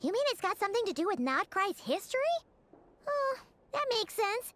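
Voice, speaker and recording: A woman voicing a small, excitable, childlike fairy companion in a video game, with a squeaky, expressive voice. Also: normal speed, background noise. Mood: chatty, curious. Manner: refers to herself in the third person, expressive. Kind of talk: video game character dialogue.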